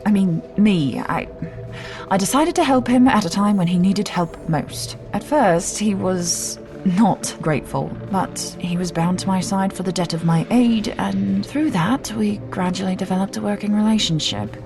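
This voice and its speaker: A poised, secretive noblewoman, played by a woman speaks with measured courtesy and gentle teasing. Her pitch sits in the middle, and music plays clearly behind her audio drama dialogue. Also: lightly acted. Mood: reflective. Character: poised, courteous, secretive noblewoman, centuries older than she looks. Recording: music under the voice.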